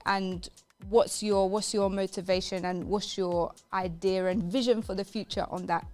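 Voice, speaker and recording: A woman's voice: mid-range voice, steady tone, normal speed, darkish tone. A woman presenting a live radio show in an upbeat, emphatic way, repeating phrases for stress.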